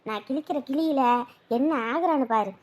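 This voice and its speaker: A high, thin voice carries the complaints of a bitter old mother-in-law, as an actress plays her. She grumbles and dwells on how she has been wronged. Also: expressive, normal speed, a little mellow. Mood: aggrieved.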